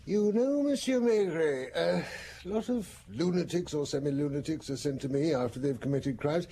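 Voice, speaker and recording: An actor playing a thoughtful male psychiatrist professor in a radio drama, measured and reflective. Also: on the high side, mellow, a little hiss or hum, natural voice. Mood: analytical.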